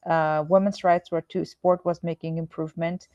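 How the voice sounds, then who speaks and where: A woman from Afghanistan, answering interview questions in a measured, sincere way with frequent pauses. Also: on the low side, little pitch movement, mellow, no echo, lo-fi sound. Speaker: guest, former Olympian and human rights activist. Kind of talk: earnest conversational interview answers.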